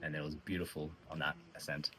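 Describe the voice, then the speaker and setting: Deep-voiced young Australian man. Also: little pitch movement, fast, fairly bright tone, a little hiss or hum, low-quality recording. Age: 20s. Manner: matter-of-fact, run-on storytelling. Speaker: interviewee hiker.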